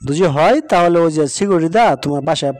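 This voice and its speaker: Man acting calm, firm factory supervisor. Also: on the high side, a little fast, dry recording, soft background music, lightly acted. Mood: reassuring. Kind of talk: moral-story cartoon dialogue.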